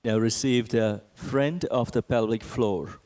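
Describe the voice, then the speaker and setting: A man teaching a guided meditation, speaking in a gentle, measured manner with long pauses between phrases. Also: medium pitch, fairly even intonation.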